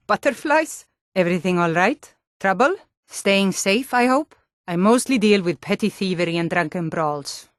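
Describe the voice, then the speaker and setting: A woman's voice: mid-range voice, dry recording, studio quality, natural voice. A woman voicing a tough, blunt female Nord warrior guard in a fantasy video game, stern, taunting and combative. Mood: gruff, watchful.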